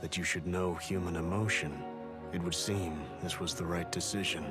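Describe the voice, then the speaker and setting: An actor playing a weary, solemn mystic guardian in a video game, speaking formally and reflectively. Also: male voice, sits low, steady tone, normal speed, close to an everyday voice. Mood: serene, farewell. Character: weary, solemn male mystic master, guardian released from duty. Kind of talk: dramatic video game voice acting. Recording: loud game music under the voice.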